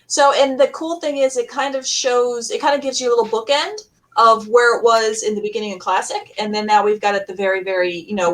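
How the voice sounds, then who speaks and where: A woman with a fairly high voice hosts an informal fan panel. She talks in a chatty, loose way, wandering through her thoughts as she speaks. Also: fairly bright tone. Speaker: panel host. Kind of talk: casual fan panel discussion.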